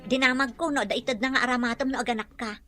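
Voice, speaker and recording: A woman's voice: a little high, steady tone, quick pace, a bit bright, soft background music, lightly acted. A woman acting a frank, concerned friend who questions and admonishes in a radio drama. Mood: admonishing.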